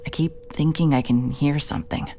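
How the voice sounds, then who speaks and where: A woman playing a hard-hearted, stubborn private investigator in an audio drama, narrating in a wry, terse, deadpan way. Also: low-pitched, normal speed, slight background noise. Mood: brooding, observant. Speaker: narrator and lead actor.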